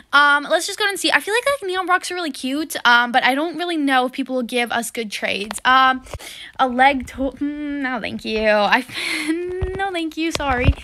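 A young woman with a slightly stuffy nose, chatting casually through a game with lots of fillers and excited exclamations. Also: high-pitched. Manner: chatty, full of fillers and "oh my gosh". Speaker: gaming video creator. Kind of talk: casual gameplay commentary.

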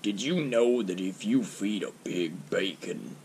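Male amateur as an absent-minded animatronic pig, low, halting, morose. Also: a little hiss or hum, low-quality recording. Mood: glum, rambling. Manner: halting, deadpan.

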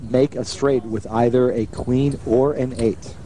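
A man's voice: medium pitch, steady tone, measured pace, dark tone, slight background noise, low-quality recording. A man commentating on televised poker with wry, colorful phrasing. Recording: crowd murmur behind broadcast commentary.

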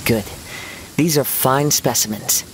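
A man voicing a gentle, serene young wandering poet swordsman in a game, with measured, formal, poetic phrasing. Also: mid-range voice, close to an everyday voice. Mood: composed, assured. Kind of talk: scripted game dialogue, storytelling. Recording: steady rain noise behind voice.

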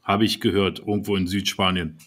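Man, podcast co-host, husky voice, agreeable chatty manner, headset on a video call. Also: deep voice, little pitch movement, a little fast, a bit bright. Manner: agreeable, backs up others with frequent "genau". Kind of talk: casual chat. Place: home room on a video call.

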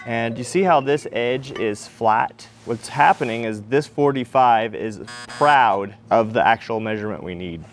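A man's voice: medium pitch, a little hiss or hum. A man in his forties teaching metal fabrication in a large workshop, explaining each step plainly and often asking questions aloud. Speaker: welding and fabrication instructor. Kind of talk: shop demonstration with voice-over.

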